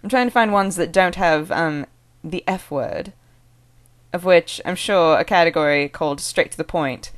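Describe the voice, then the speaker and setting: A woman from the American Northwest speaking in a put-on British accent, chatting casually as a vlogger in a rambling, halting, amused way. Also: fairly low pitch. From American Northwest (Oregon). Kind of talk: casual chat to camera with read-out one-liners.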